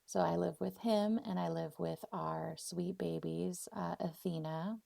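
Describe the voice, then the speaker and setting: A woman's voice: on the low side, fairly even intonation, a little slow, gravelly, dark tone, dry recording, clean studio sound. A 39-year-old woman with an American accent hosting a casual, personal solo podcast, speaking off the cuff in effusive, heartfelt, spiritual phrasing. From Arizona, US; born in Poland. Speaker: podcast host.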